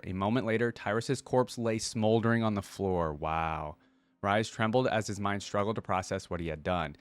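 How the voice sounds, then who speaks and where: A man's voice: fairly low pitch, dry recording. A man reading stories aloud and giving casual, chatty commentary as a reaction host. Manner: informal, chatty.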